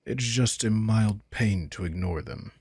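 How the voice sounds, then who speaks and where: A man playing a smug, manipulative vampire king in an intimate roleplay audio drama, talking casually with teasing, soft condescension. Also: on the low side, a little slow, husky, dry recording. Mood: casual explaining.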